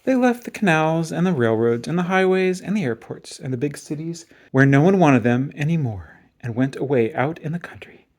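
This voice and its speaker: A man reading a children's picture book aloud in an expressive storytelling style. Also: on the high side, normal speed, hardly any pauses.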